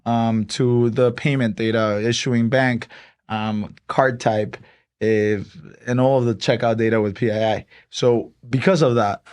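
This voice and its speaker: A young man from Honduras, a startup co-founder, explaining his company in a casual podcast interview with many pauses and fillers. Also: medium pitch, clean tone. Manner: relaxed, explains step by step with many "um" and "like".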